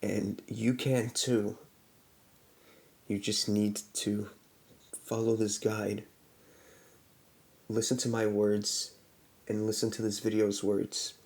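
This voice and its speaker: A man's voice: on the low side, flat delivery, slow, long pauses, darkish tone. A man playing a nervous young survivor of a monster attack, stammering and hesitant as he recounts it. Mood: shaken. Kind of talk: horror parody monologue.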